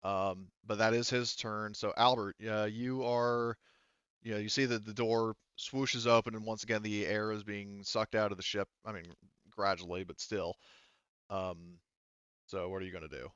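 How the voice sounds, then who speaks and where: A man with a lowish, clean voice runs a tabletop roleplaying game. He talks rules through casually and often corrects himself. The sound is close and dry with a slightly muffled top. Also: fairly even intonation, normal speed, a bit bright, clear, resonant tone, no echo. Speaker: game master.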